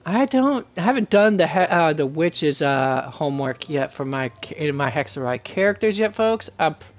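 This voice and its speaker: Higher-pitched man streaming a video game. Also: very animated, normal speed. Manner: rambling, thinking aloud, addressing viewers as folks. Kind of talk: casual livestream game commentary.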